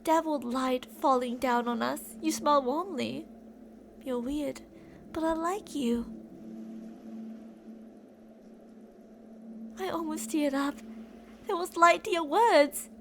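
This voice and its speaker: A woman's voice: sits high, a little slow, gravelly, slight background noise. A woman playing an obsessive, delusional young captor in an intimate, rambling ASMR roleplay monologue addressed to a captive. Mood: tender, infatuated.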